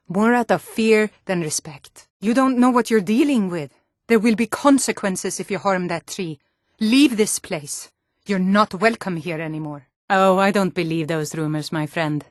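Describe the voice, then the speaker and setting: A woman's voice: medium pitch, no room sound, lightly acted. Woman playing a frank fantasy warrior woman, dry sound. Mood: serene, reverent, then stern warning. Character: hardy Nord women of a fantasy world, frank and proud. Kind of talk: scripted fantasy game dialogue.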